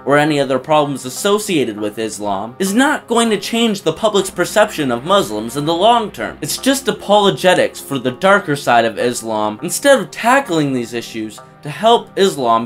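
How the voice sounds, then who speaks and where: Male host, sarcastic commentary, slightly high and animated, faint music under. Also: normal speed, few pauses. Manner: sarcastic, mocking. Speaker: reaction video host. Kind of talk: opinionated commentary.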